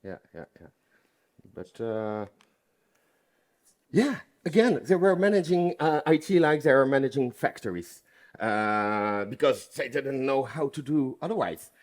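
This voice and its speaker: A man's voice: mid-range voice, animated, measured pace. A half Dutch, half French man with a French accent, joking around as a conference speaker. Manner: playful, joking. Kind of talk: conference talk. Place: conference hall.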